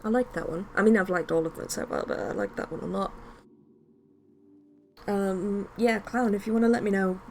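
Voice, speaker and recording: A woman's voice: mid-range voice, fairly even intonation, moderate pace, vocal fry, long pauses, darkish tone, slight background noise. A woman hosting a game livestream, talking casually to her viewers. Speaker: livestream host playing a visual novel.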